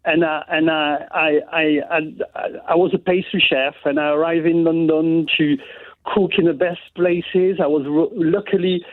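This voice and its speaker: A man calling in to a radio show, his voice sitting high for a man. He speaks eagerly but stumbles into halting restarts. The line sounds tinny and dry. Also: steady tone, dry recording. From France. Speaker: caller. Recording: phone line.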